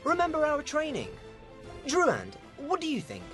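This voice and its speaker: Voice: sits high, wildly swooping pitch, measured pace, fairly bright tone, faint music behind, exaggerated voice. An actor playing a cautious, wary ranger in an amateur fantasy audio play, talking with foreboding. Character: cautious, wary young ranger warning of evil.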